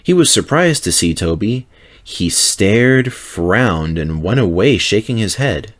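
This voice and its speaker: A man's voice: on the low side, very animated, slow pace. A man reading a children's story aloud as narrator, in a scripted, dramatic storytelling style.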